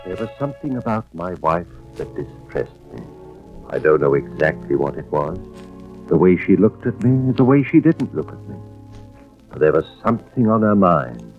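A troubled insurance man narrates his worries in an old radio drama. His low voice swings widely as he hesitates and broods. The recording is dull and vintage, with faint music behind. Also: big pitch swings, moderate pace. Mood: brooding, uneasy. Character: troubled insurance investigator husband, brooding and anxious. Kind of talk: 1940s radio drama acting, first-person narration.

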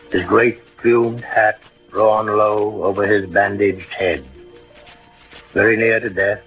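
Narrator for old radio play: man, low and solemn, scripted storytelling over soft music, dull aged sound. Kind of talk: scripted 1940s-50s radio drama narration. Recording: muffled old radio recording. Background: faint music.

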